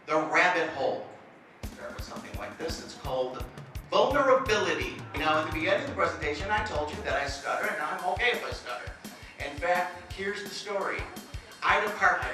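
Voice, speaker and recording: A middle-aged man giving a comedic stage talk, with a slight stutter and self-mocking jokes. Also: a little high. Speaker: motivational speaker. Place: presentation hall. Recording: echoey hall, mic from far off.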